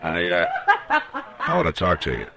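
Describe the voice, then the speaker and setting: An actor with an American accent playing a stern, steady western marshal in old-time radio style, terse and commanding. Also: male voice, low-pitched, normal speed, creaky, heavily acted. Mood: stern, pressing. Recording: noisy vintage radio drama recording.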